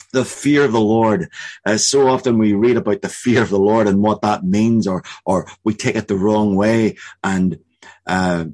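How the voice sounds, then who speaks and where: Guy preaching, kinda raspy low voice, earnest, lots of stop-start bits. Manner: earnest, halting with repeated restarts; slow, emphatic delivery of spoken prophecy. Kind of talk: sermon with prophetic words.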